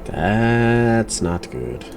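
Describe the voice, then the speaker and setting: A man giving reactive gaming commentary in a gravelly voice, with dry, sarcastic, muttered replies. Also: lowish voice, very animated, very slow, music in the background. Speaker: game streamer, virtual YouTuber.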